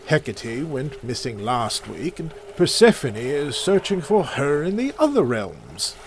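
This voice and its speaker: Male actor as put-upon underworld god, audio drama, highly animated pitch, slow delivery, dry sarcasm, exasperated. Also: slight background noise. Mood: wry.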